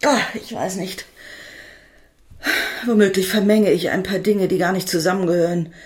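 A woman acting in a radio drama, playing a proud, weary widow with a sharp tongue and pointed delivery. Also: fairly low pitch, fairly even intonation, moderate pace, close to an everyday voice. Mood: bitter, weary.

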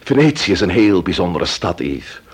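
A composed radio-play detective with a low, husky voice. He is authoritative yet tender, and his intonation moves widely up and down. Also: big pitch swings, moderate pace, heavily acted. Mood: tender, coaxing. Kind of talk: radio drama acting.

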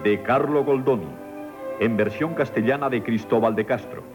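Man, radio announcer, measured scripted delivery, medium pitch, music behind. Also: lo-fi sound. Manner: formal, measured.

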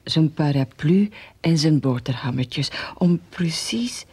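Breathy, anxious old mother. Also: low-pitched, animated, slow, a little mellow, heavily acted. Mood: fretful, hesitant. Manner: hesitant, stammering. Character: anxious, naive old widowed mother, frail and grateful. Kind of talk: radio play acting.

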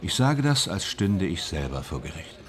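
A man playing a brooding, uneasy film actor in a German radio drama, understated and quietly earnest. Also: sits low, sing-song, moderate pace. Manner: restrained, understated.

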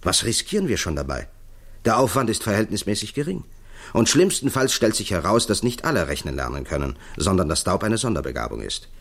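Deep, animated, persuasive official. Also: male voice, brisk, heavily acted. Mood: eager, persuasive. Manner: articulate, persuasive, emphatic. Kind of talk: 1960s German radio play acting.

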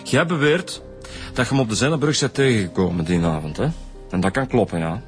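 Male actor for Flemish second detective, steady, low voice, radio crime serial. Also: a little fast, faint music behind, lightly acted. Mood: accusing. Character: level-headed male police detective, second interrogator. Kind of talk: naturalistic radio drama acting.

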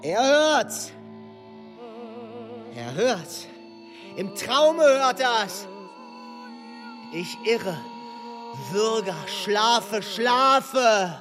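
An actor reciting dark verse theatrically as a tormented figure in a radio play. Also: medium pitch, lively intonation, extremely slow, pauses often. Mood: agitated, anguished. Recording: long cavernous reverb, faint music.

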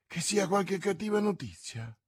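Man voicing an anxious, lovestruck young woman, raised pitch. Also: animated, moderate pace, darkish tone, breathy edge, dry recording, studio quality, exaggerated voice. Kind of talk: audiobook character voice, classic novel.

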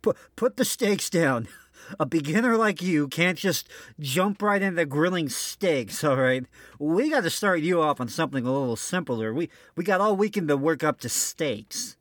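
Animated man hosting a radio show. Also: fairly high pitch, moderate pace, no room sound. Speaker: host of an old-time radio show. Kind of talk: scripted comic banter between hosts.

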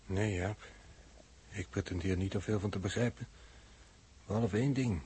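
An actor playing a sceptical observer who passes judgement in formal, deliberate, pedantic phrases, in 1960s radio drama style. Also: male voice, lowish voice, fairly even intonation, heavy background noise, natural voice. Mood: judging.

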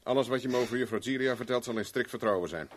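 An actor playing a cool, determined private investigator with a dry, self-assured manner in a 1970s radio play. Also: male voice, medium pitch, very fast, lightly acted. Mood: in control. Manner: dry, self-assured, talks to himself while searching. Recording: noisy old radio drama recording.

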